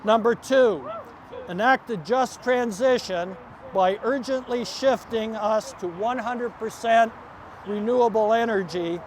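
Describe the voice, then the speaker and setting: A man reads out a formal activist statement. His delivery is emphatic and deliberate, made for a public gathering. Clear street and vehicle noise runs behind him. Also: sits high. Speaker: activist reading an open letter. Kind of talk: formal public statement read aloud at a protest. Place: outdoors at an office-front protest. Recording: outdoor street noise and traffic.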